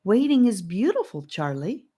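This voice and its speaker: A woman voicing a gentle, wise young girl who speaks earnestly and reassuringly. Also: medium pitch, very animated, moderate pace, husky, voice pushed up. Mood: comforting.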